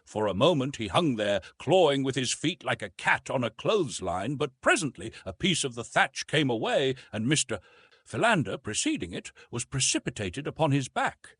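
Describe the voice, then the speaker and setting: A man narrating a classic adventure novel in an expressive, theatrical storytelling manner. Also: medium pitch, normal speed, slightly rough, dry recording, studio quality. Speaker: audiobook narrator.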